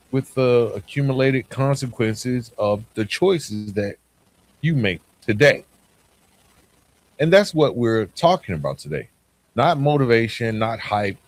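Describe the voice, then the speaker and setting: A man hosting a motivational podcast monologue, speaking in a deliberate, emphatic way and putting questions to the listener. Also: mid-range voice, low-quality recording. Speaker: podcast host.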